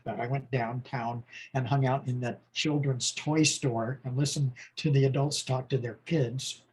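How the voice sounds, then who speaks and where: An older man in his sixties, a guest on a conversation podcast. His voice is husky and slightly breathy, at an ordinary pitch for a man. He tells his stories loosely, trailing off and circling back as he goes. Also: low-quality recording. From United States. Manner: rambling, warm storytelling with laughs and restarts. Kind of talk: casual interview conversation.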